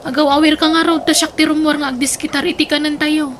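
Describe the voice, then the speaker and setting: A woman's voice: sits high, little pitch movement, fast talker, noisy background. A woman playing a suspicious, meddling aunt in an Ilocano radio drama, admonishing and questioning in an Ilocano Filipino accent.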